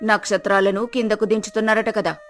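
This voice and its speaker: A woman's voice: medium pitch, level tone, fairly quick, lightly acted. A woman playing a village headman's sensible wife, reproachful and exasperated with her husband in a moral story. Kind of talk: dramatised moral story dialogue. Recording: music behind the voice.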